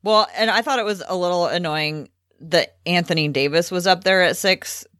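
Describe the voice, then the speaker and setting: A woman's voice: lowish voice, normal speed, a bit bright, no echo, studio quality. A woman hosting a sports podcast, chatting casually about basketball with wry asides.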